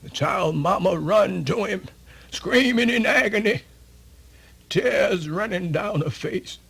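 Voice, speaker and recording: A man telling a folktale for radio theatre in a folksy, exclamatory, drawn-out storytelling manner. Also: high-pitched, animated, creaky, a bit bright, slightly rough. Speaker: storyteller narrator. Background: music.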